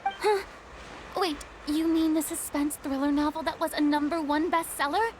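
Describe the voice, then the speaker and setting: A woman's voice: sits high, fairly even intonation, normal speed, very noisy, lightly acted. A woman voicing a vain, theatrical young star who talks in a haughty, dramatic, self-important way, in a video game. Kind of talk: game voice acting. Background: game music.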